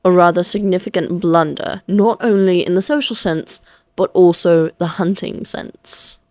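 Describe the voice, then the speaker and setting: Woman acting a slow, taunting, elegant vampire lady. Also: lowish voice, a little mellow, dry recording, natural voice. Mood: mocking, amused. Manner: slow, poised, archly formal, teasing. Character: elegant, taunting female vampire, amused and condescending, Victorian fantasy. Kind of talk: scripted roleplay audio drama monologue.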